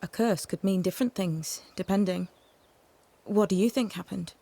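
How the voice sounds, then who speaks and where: Casting: cryptic female medium for a fantasy game, gravelly creak, measured and eccentric. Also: mid-range voice, dark tone. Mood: calm, guarded. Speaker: game voice actor. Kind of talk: dramatic game dialogue.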